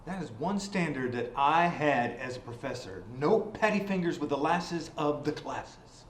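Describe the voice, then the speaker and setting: A man acting as a ghostly literature professor, wry and theatrical in a web series. His delivery swings in lively arcs. The recording is roomy and echoing, with noise behind him. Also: a little high, a little slow. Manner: wry, rhetorical, theatrical phrasing. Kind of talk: acted web series comedy-drama. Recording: roomy echo, clear background noise.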